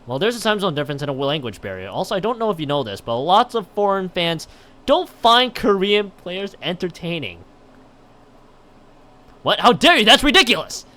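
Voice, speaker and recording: A man's voice: high voice, big pitch swings, moderate pace, bright, forceful tone. A man livestreaming, chatting and reading a visual novel aloud, often stumbling over and rereading his lines. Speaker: live streamer.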